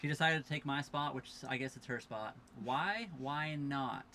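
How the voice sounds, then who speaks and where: A man's voice: medium pitch, normal speed, bright, forceful tone, a little hiss or hum. A tired young man casually chatting on a livestream from his sailboat at a marina, reading viewer questions aloud and thanking followers. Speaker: livestream host. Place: sailboat cabin at a marina dock.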